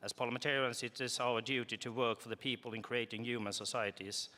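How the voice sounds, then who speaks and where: A man's voice: medium pitch, flat delivery, fast talker, pushed and bright. A man in his forties giving a formal speech to a committee, with frequent filler sounds. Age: 40s. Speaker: parliamentarian candidate. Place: assembly conference hall.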